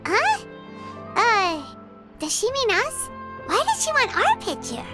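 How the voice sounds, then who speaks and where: A woman voicing a tiny childlike fairy companion in a video game, squeaky, cheeky, excitable and exclamatory. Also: slow pace, heavily acted. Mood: puzzled. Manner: refers to self in third person, exclamatory. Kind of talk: animated video game character acting. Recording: loud background music under the voice.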